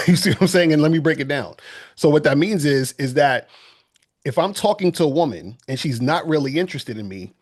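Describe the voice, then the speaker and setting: A man's voice: fairly high pitch, lively intonation, fairly quick. A man and dating coach explaining his view in an online debate, often checking that he is understood. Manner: explanatory, keeps checking "you see what I'm saying".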